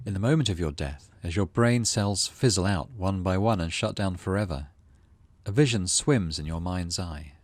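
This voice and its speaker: A man reading story text from a video game aloud as an expressive narrator, with dramatic storybook delivery and casual asides. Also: low voice, normal speed, dry recording.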